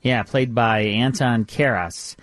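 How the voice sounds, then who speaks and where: A man's voice: medium pitch, steady tone, takes it slowly, a bit bright, no echo. An American man hosting a radio show, chatting casually and reacting readily to his co-host. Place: radio studio.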